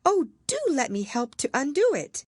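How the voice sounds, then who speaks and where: A woman's voice: high voice, very animated, moderate pace, a little mellow, dry recording, clean studio sound, pitched above the performer's own voice. A woman voicing a polite, curious young girl in a storybook, earnest and eager to please. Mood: humble, pleading.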